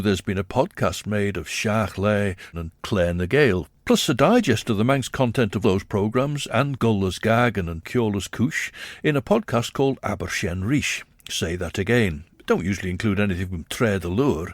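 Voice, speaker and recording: A man narrates a scripted radio documentary in a measured, explanatory way. His voice sits low but moves through a wide, lively range. The sound is close and dry, with no room around it. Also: no echo.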